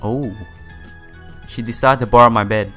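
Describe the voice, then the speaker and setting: A man's voice: medium pitch, steady tone, normal speed. A man hosting a casual let's-play, reading game text aloud and adding informal asides. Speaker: gaming video host. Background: soft game music.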